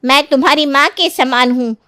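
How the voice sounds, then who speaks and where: Older mother-in-law played by a woman, very high voice, indignant and scolding, dry sound, for a dramatised moral story. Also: moderate pace, bright, forceful tone, no room sound.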